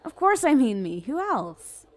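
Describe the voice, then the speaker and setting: A woman playing a smug, lovestruck female villain in an ASMR roleplay, coaxing and teasing the listener in a creaky, conversational voice. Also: medium pitch, animated, moderate pace, a little mellow. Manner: teasing, cajoling, conversational with hesitant stammers. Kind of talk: ASMR roleplay, addressing the listener as love interest.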